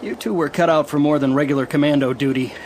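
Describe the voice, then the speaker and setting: An actor playing a brisk, authoritative commando sergeant in a science fiction audio drama. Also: male voice, on the high side, a little mellow, a little hiss or hum, lightly acted. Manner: clipped, authoritative.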